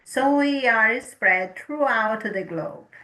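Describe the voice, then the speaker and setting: A woman hosts a spiritual talk show in a slow, gentle way, now and then stumbling over her words. Her voice is bright and sits a little high. It rings in an echoey room. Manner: gentle, unhurried, occasional stumbles. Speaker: host.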